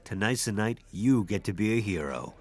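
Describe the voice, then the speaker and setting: A man's voice: lowish voice, expressive, normal speed, faint music behind. Male actor as cocksure aging detective. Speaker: actor in a radio drama. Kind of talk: radio drama acting.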